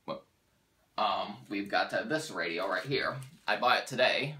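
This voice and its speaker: A man's voice: fairly low pitch, lively intonation, measured pace, sharp tone, breathy edge. A young American man casually hosting a how-to tutorial, talking informally as he demonstrates. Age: young adult. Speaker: weather radio hobbyist, video host.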